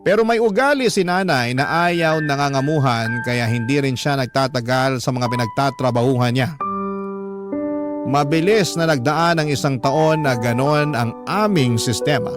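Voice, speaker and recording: Isang lalaking madramang nagbabasa ng liham bilang kuwento sa radyo, sa unang panauhan. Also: male voice, medium pitch, normal speed. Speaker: radio drama storyteller. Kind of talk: dramatic first-person scripted narration of a letter. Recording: music bed under the voice.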